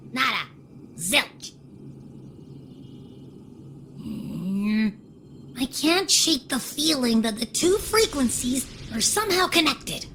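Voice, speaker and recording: Swoopy, high-voiced cheeky little companion. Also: female voice, slight background noise, heavily acted. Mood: cheeky, puzzled. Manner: cheeky, drawn-out, expressive. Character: playful, sassy little female companion in a fantasy game. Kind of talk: video game voice acting.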